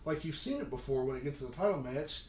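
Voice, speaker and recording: A man's voice: mid-range voice, expressive, fast, a loud crowd. A man co-hosting a casual wrestling podcast, recapping in a wry, opinionated way. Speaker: podcast co-host. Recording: echoey room, distant mic, faint noise.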